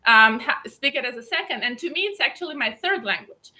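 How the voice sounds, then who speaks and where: A woman's voice: high-pitched, animated, a little fast, bright, forceful tone. A woman from Belarus with a Belarusian accent, a gown designer talking eagerly and emphatically as an interview guest. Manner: enthusiastic, emphatic repetitions. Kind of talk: casual interview talk.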